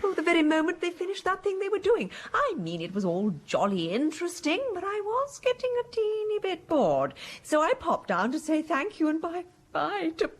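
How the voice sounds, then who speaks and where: A woman's voice: extremely high pitch, wildly swooping pitch, moderate pace, few pauses, heavily acted. An actress playing a scatty, breezy titled lady with an upper-class British accent in a radio mystery drama. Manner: gushing, airy, girlish slang.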